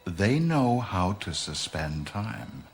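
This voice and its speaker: A man playing a grave, mysterious client in a radio play, speaking in a measured, solemn, cryptic way. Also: on the low side, very animated, vocal fry, full character voice.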